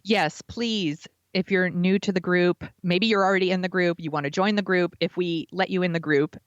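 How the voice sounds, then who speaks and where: The sound is dry and somewhat dull, a lo-fi microphone. An American woman speaks in a lowish voice as a podcast co-host. She chats casually in slangy, informal phrasing. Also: steady tone, normal speed, no room sound. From United States. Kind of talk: casual chat.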